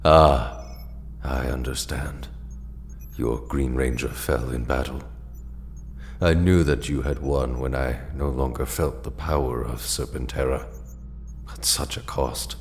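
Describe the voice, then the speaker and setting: Very deep, grave ancient alien mentor. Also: male voice, fairly even intonation, a little hiss or hum, full character voice. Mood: grave, sorrowful. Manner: solemn, formal, measured. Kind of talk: audio drama acting.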